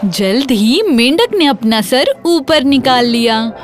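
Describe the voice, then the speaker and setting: A woman narrating a scripted children's fairy tale in an expressive, dramatic storytelling manner. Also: high voice, slow, faint music behind.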